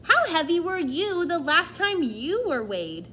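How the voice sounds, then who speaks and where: A woman's voice: very high-pitched, sing-song, a little slow, pushed and bright, a little hiss or hum. A woman teacher reading a nonfiction book aloud to children, expressively, often addressing them directly with questions and asides.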